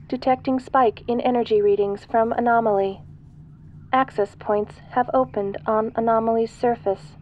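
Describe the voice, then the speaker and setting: A woman's voice: on the high side, lo-fi sound, slight background noise, close to an everyday voice. A woman voicing an impassive spaceship computer, giving formal, clipped announcements in a sci-fi audio roleplay. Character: impassive female ship computer, procedural, alarm-giving machine.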